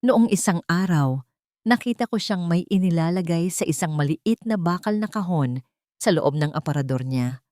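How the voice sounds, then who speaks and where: A woman's voice: fairly low pitch, expressive, normal speed, darkish tone, no echo, studio quality, close to an everyday voice. A woman voicing an anxious, protective elderly mother in an audio drama, with a fearful, pleading manner. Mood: anxious, confiding.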